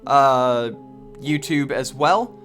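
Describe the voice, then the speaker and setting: A man's voice: medium pitch, drawn-out words, a bit bright, faint music behind. A man co-hosting with casual announcements in a hesitant way. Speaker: co-host.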